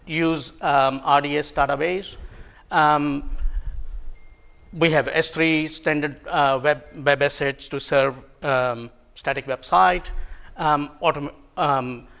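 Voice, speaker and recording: Man presenting, Hindi-native, gravelly voice. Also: a little high, fairly bright tone. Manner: halting, many ums and self-corrections, light self-deprecating humor. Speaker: conference presenter. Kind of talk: technical conference talk. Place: conference room.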